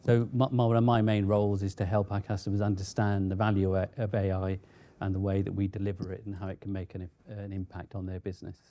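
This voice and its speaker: Mellow, measured male panelist. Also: low-pitched, level tone. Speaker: enterprise architect, panelist. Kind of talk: explanatory panel talk.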